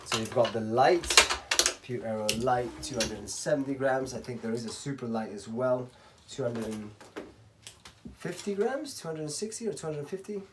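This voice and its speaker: A man from Spain giving a casual, rambling hands-on tutorial as a racquet stringer, often clearing his throat. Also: mid-range voice, expressive, normal speed. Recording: clattering workshop tool noise.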